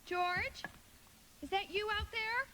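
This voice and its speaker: A woman's voice: very high voice, takes it slowly, pushed and bright, heavily acted. A woman acting in a live radio play as a coy, hopeful young single woman who is easily exasperated. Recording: very noisy live stage radio recording.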